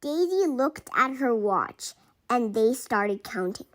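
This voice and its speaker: A woman reading a children's picture book aloud in an expressive way, acting out the dialogue. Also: on the high side, slow pace. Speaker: storybook reader for children.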